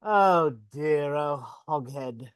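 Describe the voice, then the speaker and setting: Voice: mid-range voice, very slow, clean tone, dry recording, studio quality. A performer voicing a cruel, mocking goblin king in a live reading over a video call. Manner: taunting, mocking with laughs.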